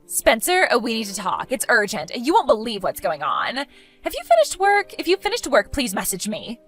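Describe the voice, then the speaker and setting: A woman's voice: a little high, huge pitch swings, normal speed, a bit bright, soft background music, heavily acted. A woman voicing a catty, condescending, gossipy sister-in-law in an exaggerated, melodramatic style for a dramatized story. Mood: urgent gossip. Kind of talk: dramatized text-message story voice acting.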